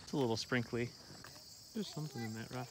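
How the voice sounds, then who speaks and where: A man's voice: medium pitch, fast talker. Playful young man vlogging on a phone. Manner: enthusiastic, playful. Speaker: travel vlogger. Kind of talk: casual vlog chat. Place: mall food court, car and outdoor park. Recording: noisy phone video with outdoor ambience.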